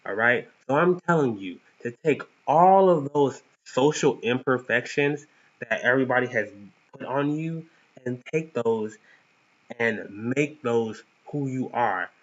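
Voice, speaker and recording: A man's voice: mid-range voice, measured pace. A young man with a lisp giving a playful, earnest motivational talk to viewers as a vlog host, with cocky bursts of emphasis. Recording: dull lo-fi sound.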